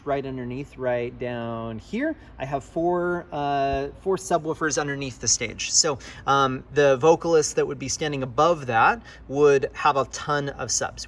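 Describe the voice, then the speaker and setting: A man with a slightly high voice gives a technical tutorial. He speaks as an instructor, walking through things step by step and checking that the listener follows. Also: fairly bright tone. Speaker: church audio engineer and video presenter. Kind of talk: explanatory tutorial narration.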